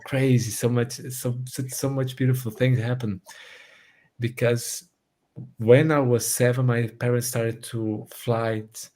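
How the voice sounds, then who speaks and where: An earnest man from Brazil who grew up in Portugal, speaking English with a Portuguese accent. His voice sits at an ordinary middle pitch, and he often restarts phrases while he searches for the right words in a relaxed guest conversation. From Brazil, raised in Portugal. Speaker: podcast guest. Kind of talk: casual conversation.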